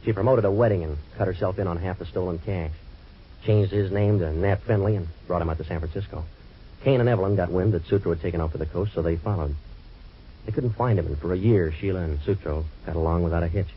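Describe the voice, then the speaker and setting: An actor playing a hard-boiled waterfront detective in a 1940s radio drama, with dry, clipped wisecracks. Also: male voice, low-pitched, fast, natural voice. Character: wisecracking tough waterfront private eye, man. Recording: dull old radio broadcast with faint hiss.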